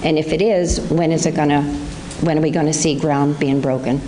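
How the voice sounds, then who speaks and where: A woman makes a plain-spoken comment at a town meeting and pauses now and then to find her words. Her voice is very low and mellow. Faint noise sits behind her. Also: fairly even intonation, poor audio. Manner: plain-spoken, hesitant with ums. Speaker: public commenter. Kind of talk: casual public comment. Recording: local-access meeting recording with faint noise.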